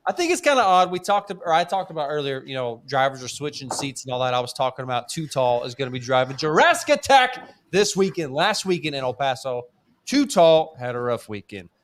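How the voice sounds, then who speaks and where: A man's voice: medium pitch, very animated, brisk, a bit bright, a little hiss or hum. A man co-hosting a casual monster truck talk show, blunt and laid-back, chuckling as he talks. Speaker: podcast co-host. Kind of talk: casual chat.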